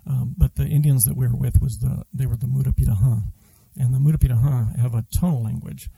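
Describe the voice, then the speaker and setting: A man born in Brazil, a school head, answering interview questions in a relaxed way with fillers and restarts. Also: mid-range voice, fast, gravelly, breathy edge, no echo, rough recording. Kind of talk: casual interview conversation.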